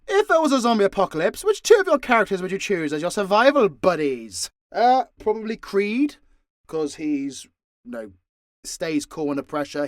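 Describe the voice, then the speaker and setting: Higher-voiced British man, sweary and jokey. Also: no echo, clean studio sound. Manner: sweary, jokey, banter with mock exasperation. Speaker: audio roleplay creator and voice actor. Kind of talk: casual unscripted Q&A, reading and answering fan questions.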